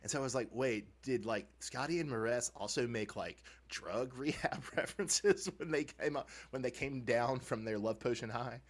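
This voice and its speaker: A man co-hosting a podcast, chatting casually and comically as a fan, with animated, informal, chuckling banter. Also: medium pitch, moderate pace, few pauses. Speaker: podcast co-host. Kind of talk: casual comedic fan discussion.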